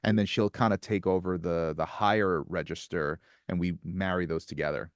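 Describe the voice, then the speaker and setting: Thirties male guest, low and smooth, close dry mic, soft-spoken with halting restarts. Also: steady tone, dry recording. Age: 30s. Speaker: musician guest. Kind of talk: casual interview chat.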